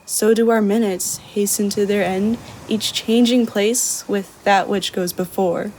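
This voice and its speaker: A young woman performing a reflective dramatic monologue in verse, with measured delivery. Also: mid-range voice, fairly even intonation, a little mellow, slight background noise, lightly acted, rough recording. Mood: solemn. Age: young adult.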